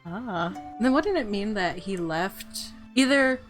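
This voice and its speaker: A woman streaming a game, reading its story aloud and reacting with casual, excited commentary as she thinks aloud. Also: medium pitch, takes it slowly, soft background music.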